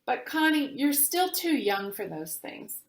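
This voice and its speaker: A patient storybook father , read by a woman, explains things earnestly and with gentle firmness. The delivery is unhurried and very inflected, in an echoey room. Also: sits high, very animated, voice pushed up, exaggerated voice. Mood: gently firm.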